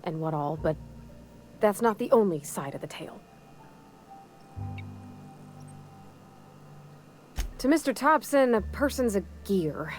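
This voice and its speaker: A woman's voice: fairly low pitch, natural voice. An actress playing an earnest, shy young woman mechanic in a frontier colony video game, speaking in a hesitant, folksy, polite way. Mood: nervous, earnest.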